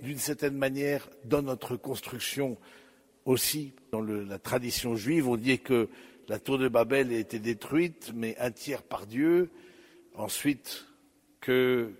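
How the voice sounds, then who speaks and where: A man preaching a sermon, slow and reflective, addressing his listeners directly, with pauses and fillers. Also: mid-range voice, long reverb tail.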